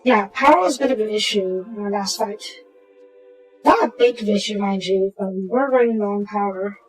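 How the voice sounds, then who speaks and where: A woman with a slightly hoarse voice, casually talking through a strategy game and muttering to herself as she plays. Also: medium pitch, normal speed, faint music behind, rough recording. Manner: muttering to herself while playing, trailing off into quiet asides. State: hoarse from a sore throat. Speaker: gaming channel host. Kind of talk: casual gameplay commentary.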